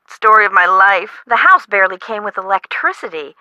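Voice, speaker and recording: A woman's voice: medium pitch, fairly quick, no room sound, highs cut off. An actress playing an emotive, chatty woman homeowner in an adventure video game. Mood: chatty, explanatory. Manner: emotive, expressive, colloquial. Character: frightened, dramatic woman homeowner, chatty and friendly. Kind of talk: game character dialogue acting.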